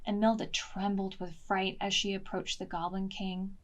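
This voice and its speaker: A woman reading a picture book aloud to preschoolers in an expressive, deliberate way, as a storytime librarian. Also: medium pitch. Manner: slow, deliberate, expressive, pausing to ask questions.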